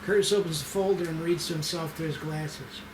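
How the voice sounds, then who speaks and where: A man's voice: on the high side, steady tone, a little fast, reverberant, background noise. A man reading stage directions as the narrator of a screenplay table read.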